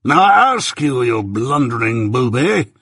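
A man's voice: on the high side, sing-song, takes it slowly, sharp tone, slightly rough, dry recording, clean studio sound, pitched above the performer's own voice, exaggerated voice. A man voicing a stern, domineering male lawyer with harsh, scornful, clipped commands. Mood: irate.

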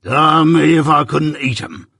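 A man voicing a fearsome escaped convict, gruff and threatening, in a husky, dry-sounding read. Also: fairly high pitch, expressive, unhurried, bright, forceful tone, dry recording, pitched above the performer's own voice, full character voice. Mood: menacing. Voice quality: growling, gruff. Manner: menacing, barking orders.

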